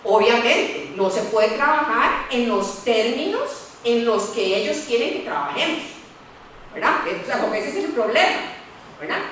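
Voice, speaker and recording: A middle-aged woman lawyer, speaking on a university panel in a candid, animated way and often adding "¿verdad?". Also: a little high, unhurried, a little hiss or hum. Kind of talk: panel talk. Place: lecture room. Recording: echoey room, voice from far off.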